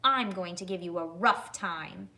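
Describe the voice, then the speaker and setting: A woman voicing a large, teasing rhinoceros in a children's storybook, rough and easily tipped into giggles. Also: lowish voice, a little slow, pushed and bright. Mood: gruff, unkind. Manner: growling threats, breathless stuttering laughter.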